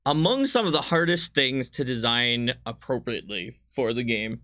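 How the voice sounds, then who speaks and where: A man's voice: mid-range voice, animated, moderate pace, pushed and bright, no echo, clean studio sound. A man speaking as a game designer in an unscripted panel chat, explaining things and often jumping in. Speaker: game designer on a company stream.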